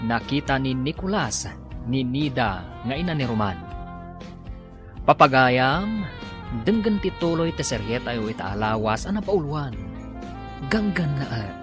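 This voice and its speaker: A man delivering dramatic scripted narration for a radio drama. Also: medium pitch. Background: music.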